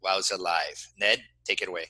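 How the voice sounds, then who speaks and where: A man's voice: deep voice, normal speed, pushed and bright. A man opening an online show with a formal greeting before handing over to the host.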